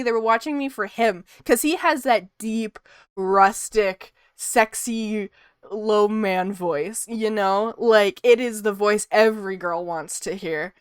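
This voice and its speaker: A woman's voice: fairly high pitch, smooth, no room sound. Female streamer, casual rambling chat, soothing voice, dry close-mic sound. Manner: rambling and chatty, laughs often. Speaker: art streamer.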